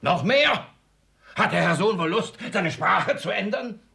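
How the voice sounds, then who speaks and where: An actor playing a miserly, irascible old father who courts a young woman with unctuous flattery in a classic comedy radio play. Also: sits high, measured pace, vocal fry, full character voice. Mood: indignant.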